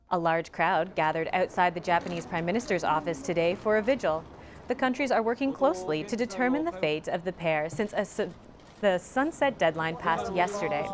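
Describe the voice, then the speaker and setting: Low-voiced young woman reading the news. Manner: crisp, even newscaster delivery. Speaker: student news anchor. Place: college newsroom studio.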